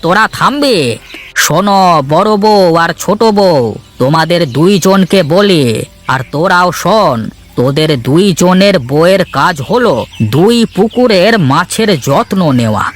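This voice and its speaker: A man's voice: high voice, lively intonation, measured pace. A man playing a stern, bossy father-in-law in a village cartoon, speaking in a commanding, emphatic way. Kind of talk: Bangla cartoon voice acting.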